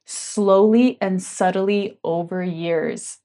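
A woman's voice: mid-range voice, steady tone, slow pace, mellow. A woman who grew up in Hong Kong, a guest on a podcast, speaks candidly and reflectively about her life in a casual chat full of "like" fillers. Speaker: guest, tea ceremony guide.

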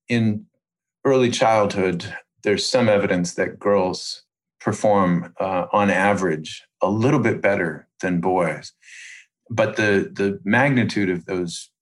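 A man speaking as an expert interview guest, explaining in precise, measured academic phrases with deliberate pauses. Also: fairly low pitch.

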